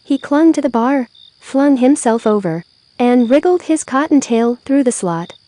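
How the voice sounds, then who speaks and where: A woman narrating a children's story aloud, with expressive, deliberate phrasing. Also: high voice, dry recording.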